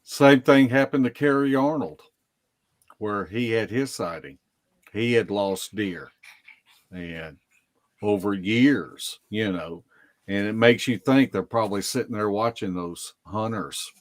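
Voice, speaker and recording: A man telling stories casually as a podcast guest, in a halting, deliberate way. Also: on the low side, no echo. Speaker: podcast guest, Bigfoot investigator. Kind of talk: casual storytelling.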